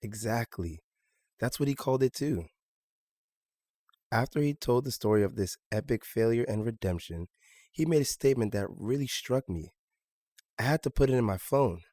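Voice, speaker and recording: A man's voice: medium pitch, expressive, moderate pace, long pauses, dark tone, no room sound, clean studio sound, lightly acted. A man playing an earnest, stubborn seventeen-year-old son in a naturalistic audio drama, polite but insistent. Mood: earnest, confiding.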